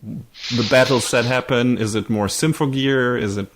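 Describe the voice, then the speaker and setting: A man chatting casually as a podcast co-host, asking questions in a searching, halting way. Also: medium pitch, steady tone, slight background noise. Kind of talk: casual anime discussion.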